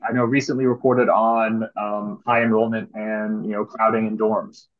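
A man in his twenties, a guest commentator in casual discussion, speaking haltingly and repeating words. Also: lowish voice, level tone. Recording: thin, phone-like video call audio.

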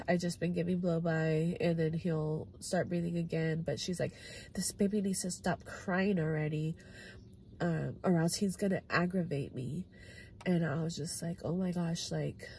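A young woman with a hoarse, fading voice talks through her week in a casual, chatty way, retelling scenes in quoted dialogue. Her tone is low and mellow. Faint hiss sits behind her in a small car cabin. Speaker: respiratory therapy student. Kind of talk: casual vlog recap. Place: parked car. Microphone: phone camera at arm's length.

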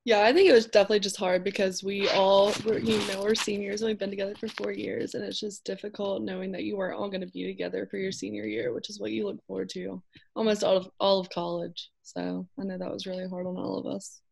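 A woman's voice: mid-range voice, flat delivery, quick pace, gravelly, a little mellow, husky. An American woman in her early twenties, speaking casually as a guest in a video call interview. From North Carolina, USA. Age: early 20s. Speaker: college volleyball player, interview guest. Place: indoor room on a video call.

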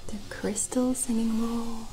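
A woman speaking in a gentle, hushed ASMR whisper, close to the mic and unhurried. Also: a little high, level tone, soft background music, rough recording. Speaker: ASMR host in a witch roleplay. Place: small dim indoor studio.

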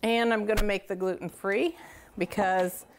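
A woman's voice: mid-range voice, fairly even intonation, normal speed, a little hiss or hum. A middle-aged woman hosting a casual cooking demonstration, chatty, folksy and enthusiastic as she talks straight to viewers. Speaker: cooking show host. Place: home kitchen, indoors.

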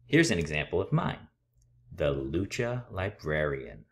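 A man in his thirties reading a picture book aloud and explaining things to children in a theatrical, expressive way. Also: low-pitched, measured pace, creaky. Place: small room at home, indoors.

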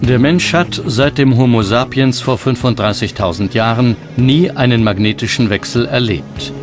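A man's voice: mid-range voice, moderate pace, music in the background. A man delivering scripted narration for a documentary.

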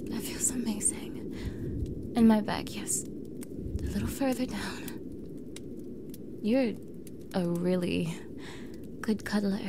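Young woman playing standoffish mean girl, ASMR roleplay, unhurried, creaky fry, soft, halting, flustered, defensive but softening. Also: mid-range voice, noisy background. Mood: tender, shy. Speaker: audio roleplay voice actor. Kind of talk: intimate ASMR roleplay acting.

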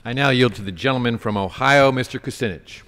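A man's voice: mid-range voice, fairly quick, a bit bright. A man presiding over a legislature, making formal procedural announcements. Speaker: presiding legislator yielding the floor. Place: large legislative chamber. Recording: long hall reverb, chamber microphone.

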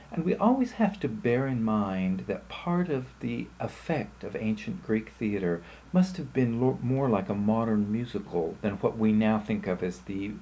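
Male lecturer, low pitch, mellow husky tone, measured phrasing, explanatory slide narration. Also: poor audio.

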